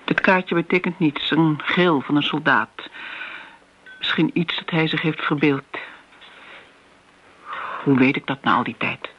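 A woman's voice: low-pitched, a little slow, long pauses, husky. Guarded older woman, muffled recording. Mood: defensive, probing. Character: guarded elderly woman, evasive about an old secret love. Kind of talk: radio drama acting. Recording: dull, lo-fi radio drama recording.

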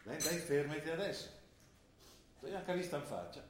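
A man acting an older father who gently questions his daughter in a plain, homely way, recorded on a very echoey stage with background noise. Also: a little high, expressive, moderate pace, lightly acted. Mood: concerned. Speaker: stage actor. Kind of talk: theatre drama broadcast on radio.

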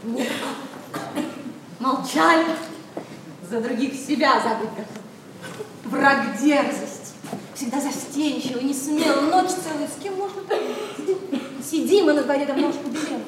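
Proud young noblewoman, high and echoey. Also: measured pace, pauses often. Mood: dreamy, lovestruck. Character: young noblewoman Sophia, proud, lovestruck, evasive with her father. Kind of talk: classical verse stage acting. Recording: echoey, distant stage-like recording, clear noise.

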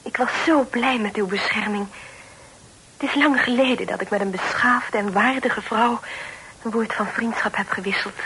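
A polite, lonely young lady in an old radio drama. Her voice is fairly high, soft and hesitant, with formal courtesy, over a slightly noisy recording. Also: normal speed. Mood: sad, grateful. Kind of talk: Dutch radio play acting.

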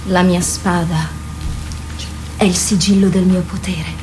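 A woman's voice: on the low side, flat delivery, takes it slowly, background noise. An actress playing an impassioned, poetic woman in a theatrical radio drama, speaking with emphatic delivery. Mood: solemn, reflective. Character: impassioned woman confronting and pleading with a warrior.

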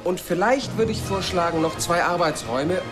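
An actor playing an earnest, methodical architect who speaks considerately in a radio play. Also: male voice, sits high, expressive, normal speed, over music. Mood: eager, planning.

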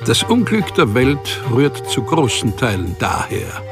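An actor playing a calm, dangerous archivist who organises killings, speaking in a measured, formal way in a radio play. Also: male voice, medium pitch, creaky, heavily acted. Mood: matter-of-fact. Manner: measured, formal, matter-of-fact. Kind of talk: radio play acting and first-person narration. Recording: music behind the voice.

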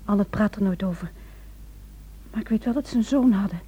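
An actress playing a worried, caring wife in a Dutch radio drama, soft-spoken and hesitant. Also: female voice, mid-range voice, fairly quick, husky, close to an everyday voice. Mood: hushed, confiding.

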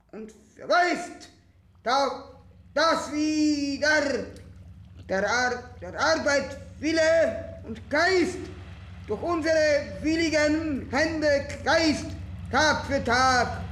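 An actor playing a fervent man who declaims a bitter lament with halting repetitions in a radio play. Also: very high voice, expressive, pushed and bright, roomy sound, full character voice.

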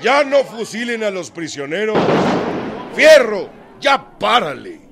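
High-pitched commanding revolutionary general. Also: male voice, very animated, takes it slowly, vocal fry, heavily acted. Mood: urgent command. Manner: commanding, shouted orders. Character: commanding revolutionary general giving battle orders. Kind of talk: radio drama battle acting. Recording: loud battle crowd and outdoor noise.

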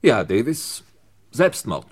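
An actor playing a calm, methodical police inspector in a 1960s German radio crime drama, speaking deliberately and with authority. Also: male voice, medium pitch, slightly rough, heavily acted. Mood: grave, certain.

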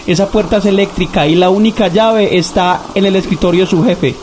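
A man's voice: high-pitched, steady tone, fairly quick, a little mellow, close to an everyday voice. A timid, stammering Colombian night doorman, acted by a man in a radio drama. He repeats his words, with faint noise in the background. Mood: nervous.

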